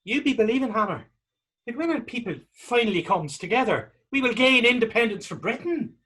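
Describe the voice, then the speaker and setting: A man playing a skeptical, down-and-out Irish drifter in a staged period drama reading, husky and sardonic. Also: very high-pitched, lively intonation, a little fast, bright, forceful tone, exaggerated voice. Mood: skeptical, exasperated. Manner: wry, sardonic. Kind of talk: stage readers-theater dialogue in Irish period dialect.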